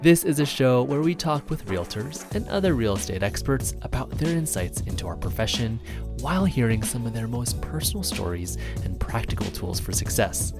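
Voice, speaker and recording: A man's voice: medium pitch, lively intonation, moderate pace. Upbeat male podcast host over music. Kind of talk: scripted intro and interview questions.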